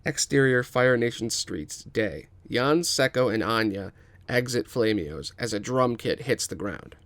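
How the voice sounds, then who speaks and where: A man's voice: mid-range voice, expressive, measured pace, fairly bright tone. A man narrating a scripted screenplay, reading out scene headings and action. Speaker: podcast host reading a script.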